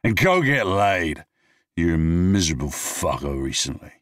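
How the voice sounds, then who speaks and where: A man doing a gruff, irritated guy. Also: deep voice, big pitch swings, moderate pace, fairly bright tone, no room sound, studio quality, recorded from a distance. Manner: grunting, irritated.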